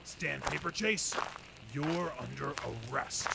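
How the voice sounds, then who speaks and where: An actor playing a gruff, commanding policeman in an old-time radio drama. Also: on the high side, takes it slowly, full character voice. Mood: stern. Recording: loud noise behind the voice.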